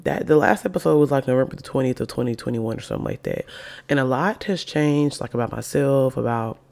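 Deep, gravelly-voiced woman podcast host, sick. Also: sing-song, fast, darkish tone. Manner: chatty, playful, self-hyping, slangy. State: sick with covid, voice extra deep. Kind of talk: casual solo podcast chat.

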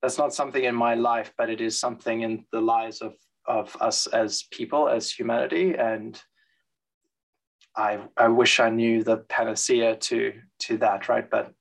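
A man answering interview questions in a thoughtful, measured way, with frequent fillers and restarts. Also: medium pitch, steady tone, vocal fry, low-quality recording. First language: English. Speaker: guest, transit expert. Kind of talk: interview conversation.

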